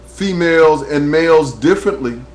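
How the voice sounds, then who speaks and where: A man's voice: a little high, lively intonation, normal speed, low-quality recording. Earnest man on a webcam. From New Jersey, USA. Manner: earnest, preacherly, rising when telling his story. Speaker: talk radio host. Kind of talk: webcam monologue. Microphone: webcam microphone.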